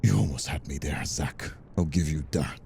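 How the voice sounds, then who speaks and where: A man's voice: extremely low pitch, normal speed, creaky, noisy background. A man playing a bitter, mocking mob boss in an audio roleplay drama, taunting an old rival with contempt. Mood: cold menace.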